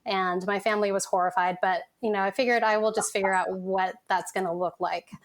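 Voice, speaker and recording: A woman's voice: medium pitch, steady tone, fairly quick. A woman in her forties who grew up in San Francisco, guest on a podcast, telling her story in a reflective, chatty way with many "you know" fillers. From San Francisco, United States. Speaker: guest, content design manager. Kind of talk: casual podcast interview.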